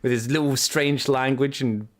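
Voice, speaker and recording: A man's voice: mid-range voice, fairly even intonation, moderate pace. A man hosts a loose podcast chat with a mid-range voice. He jokes and teases his guest, and he swears freely as he goes. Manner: joking, swears freely, teasing. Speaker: podcast host. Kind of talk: casual chat.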